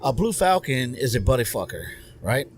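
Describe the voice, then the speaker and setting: A man's voice: mid-range voice, sing-song, moderate pace, crowd noise. A middle-aged man, an Army veteran, talking earnestly and rambling with fillers as a podcast guest on a patio. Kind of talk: casual podcast conversation. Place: covered patio with string lights at night.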